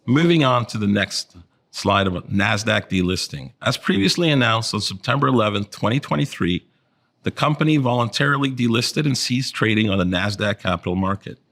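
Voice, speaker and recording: Male finance executive, lowish voice, formal scripted earnings presentation, measured corporate delivery. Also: lively intonation, fairly bright tone. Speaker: chief financial officer presenting results.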